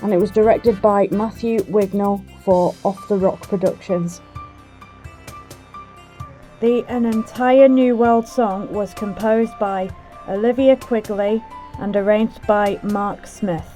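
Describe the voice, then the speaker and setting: A woman's voice: mid-range voice, fairly even intonation, takes it slowly, mellow. A woman reading scripted closing credits for an audio play as announcer. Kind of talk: scripted narration. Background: music.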